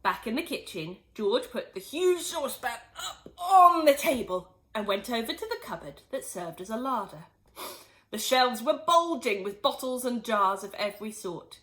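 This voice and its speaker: Lively woman reading a storybook. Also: on the high side, normal speed. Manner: expressive, animated reading. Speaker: teacher reading a children's book. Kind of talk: storybook read-aloud.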